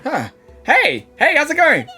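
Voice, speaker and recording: A woman reacting live to a game, casual and excitable. Her bright, high voice swings a lot as she gushes in slang, with game music faintly behind. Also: measured pace. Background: game audio and music.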